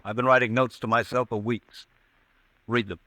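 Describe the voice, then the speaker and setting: A man acting a haunted, guilt-ridden man in an old-time radio drama, grave, halting and intense. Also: medium pitch, steady tone, bright, forceful tone, no room sound, lightly acted. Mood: resigned guilt. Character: haunted, guilt-ridden man convinced of his own crime. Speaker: radio actor.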